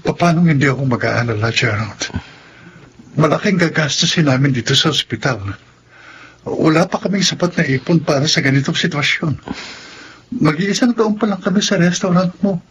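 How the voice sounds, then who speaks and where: A man's voice: a little high, normal speed, fairly bright tone, dull audio, slight background noise, close to an everyday voice. A man with a Filipino accent playing a worried, grateful older brother and restaurant worker in a radio drama, earnest and anxious in manner.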